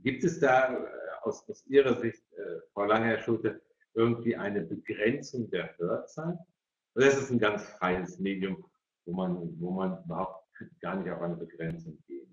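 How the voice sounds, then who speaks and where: Deep-voiced male moderator in echoey room. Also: normal speed. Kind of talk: moderating questions in an online webinar. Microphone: video call. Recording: dull, echoey video call audio.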